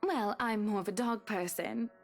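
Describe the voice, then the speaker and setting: An actress playing a wealthy married woman in a visual novel, condescending and pushy, with a saccharine, drawn-out delivery. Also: medium pitch, faint music behind, close to an everyday voice.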